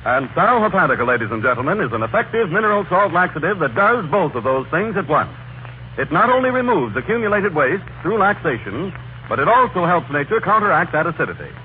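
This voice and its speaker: Old radio announcer guy, salesy and polished, very lively intonation, thin crackly sound. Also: fairly high pitch, fairly quick, phone-quality sound. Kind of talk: 1940s radio commercial and announcing.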